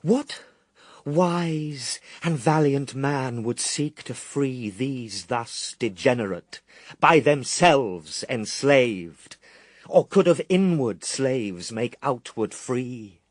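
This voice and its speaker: An actor playing Jesus as a stern, steadfast Son of God in a verse radio drama, solemn, declamatory and disdainful. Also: male voice, mid-range voice, expressive, slow pace, no echo, clean studio sound. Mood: scornful, resolute.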